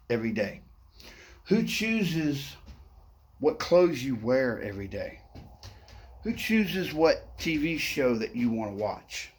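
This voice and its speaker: A man's voice: mid-range voice, a little hiss or hum. A middle-aged man who stutters, talking to the camera in a playful, upbeat way and often adding "okay?". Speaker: vlogger. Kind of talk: casual motivational talk to camera.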